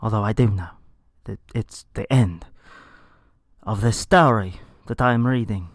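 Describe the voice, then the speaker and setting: A man's voice: lowish voice, unhurried, no echo, clean studio sound. A man reading a story aloud in a dramatic, comedic style with theatrical, emphatic delivery. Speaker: narrator reading a fan fiction story aloud.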